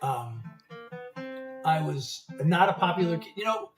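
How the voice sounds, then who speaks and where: A man's voice: a little high, expressive, measured pace. Man in his 70s, singer-songwriter, rambling livestream chat, laughs a lot, reverberant room, guitar playing behind. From United States. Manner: rambling, chatty, laughs often. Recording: roomy, distant mic, guitar music behind.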